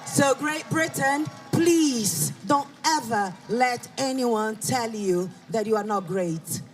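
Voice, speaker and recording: A man's voice: extremely high pitch, takes it slowly, faint music behind, some chatter behind. A man in his forties with a Brazilian accent giving an earnest, heartfelt speech at an outdoor rally. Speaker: rally speaker.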